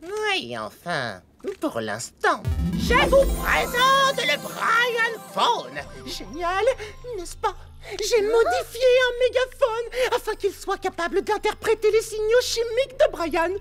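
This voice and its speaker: Wildly swooping nerdy young inventor. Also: female voice, extremely high pitch, big pitch swings, slow, over music, exaggerated voice. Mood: proud. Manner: explanatory, showy. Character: nerdy, proud young inventor microbe kid. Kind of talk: cartoon voice acting in French.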